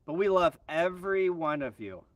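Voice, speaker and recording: Animated, playful man hosting a livestream. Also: on the high side, lo-fi sound. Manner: playful, bantering, halting with repeats. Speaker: livestream host. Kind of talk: casual live chat. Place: outdoors in a quiet spot with birds. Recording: slightly distant from the mic.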